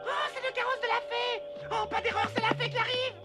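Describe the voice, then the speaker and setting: Very high-pitched chattering little character. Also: female voice, level tone, a little fast. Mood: excited. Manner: chattering, exclamatory. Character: excitable, gossipy little cartoon neighbour. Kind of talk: animated cartoon dub acting. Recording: music under the voice. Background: background music.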